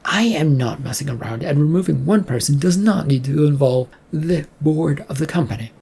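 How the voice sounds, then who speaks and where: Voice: mid-range voice, animated, moderate pace, creaky, warm tone, slightly rough. A narrator voicing a defiant, dismissive female company boss with a curt, defensive manner, in an audio story.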